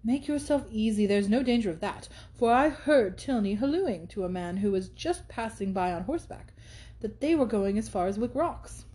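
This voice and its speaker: A woman's voice: mid-range voice, animated, moderate pace, warm tone, close to an everyday voice. A woman reading aloud and voicing a brash, boastful young man who talks over everyone. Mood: insistent. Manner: blustering, insistent.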